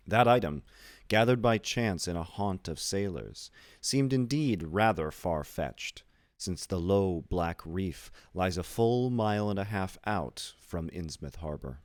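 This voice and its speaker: A man reading a classic horror story aloud as scripted literary narration, in a measured, deliberate manner. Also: low voice, a little mellow, dry recording, clean studio sound. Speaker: audiobook reader.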